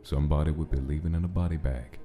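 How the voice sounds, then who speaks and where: A man's voice: rumbling low, fairly even intonation, fast, mellow. A man reading scripted narration of a gritty gangster-era story in a comic dub. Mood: brooding, measured.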